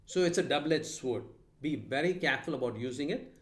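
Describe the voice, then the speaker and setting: Man, slightly high voice, moderator on a video call, calm explanations with filler pauses. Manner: measured, explanatory, with hesitations. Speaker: meeting moderator. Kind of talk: online club meeting discussion.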